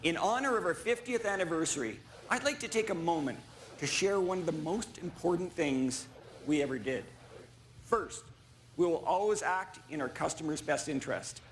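High-voiced middle-aged man giving a keynote. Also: animated, a little hiss or hum. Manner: measured, deliberate, in short emphatic statements. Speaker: chief executive giving a keynote. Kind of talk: rehearsed keynote speech. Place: large conference stage before an audience. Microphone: small clip-on lapel mic.

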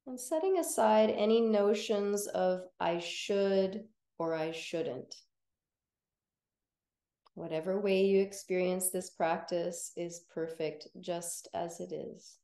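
Slow, mellow woman guiding relaxation. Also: fairly low pitch, echoey room. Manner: soothing, gentle, unhurried with long pauses. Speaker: yoga nidra teacher. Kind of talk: guided relaxation meditation.

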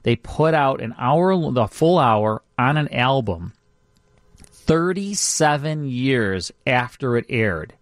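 A man's voice: medium pitch, animated, takes it slowly, no room sound. Male radio host, close and dry. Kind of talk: casual radio talk about classic radio shows.

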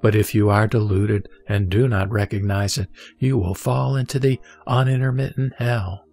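A man reading a Buddhist scripture aloud in a measured, steady recitation. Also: fairly low pitch, creaky. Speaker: scripture reader. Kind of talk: solemn scripture reading over music. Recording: soft background music.

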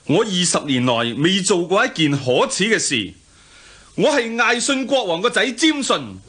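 An actor with a Hong Kong Cantonese accent playing a proud, honest young prince in a radio drama, earnest and declamatory. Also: male voice, high voice, sing-song, moderate pace, pushed and bright, breathy edge, full character voice.

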